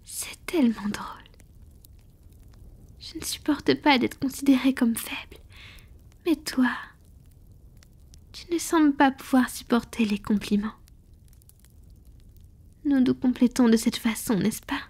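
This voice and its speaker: A woman plays a proud, ancient female vampire who is tender with a lover. The voice is slightly high and very breathy, mostly whispered. The delivery is slow and intimate, in the manner of a romantic ASMR roleplay. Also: darkish tone. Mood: teasing, doting. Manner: slow, intimate, affectionate murmuring.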